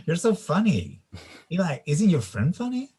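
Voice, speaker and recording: A man's voice: on the high side, darkish tone, slightly rough, full character voice. A man playing a cool, aloof, trendy young man with a hesitant, polite manner in a screenplay table read. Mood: coolly amused.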